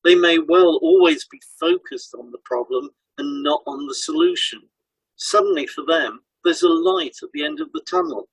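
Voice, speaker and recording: A man's voice: fairly high pitch, wildly swooping pitch, normal speed, vocal fry, breathy edge, dry recording, rough recording. A man co-hosting a podcast as a hypnotherapist, reading scripted explanations in an instructional way.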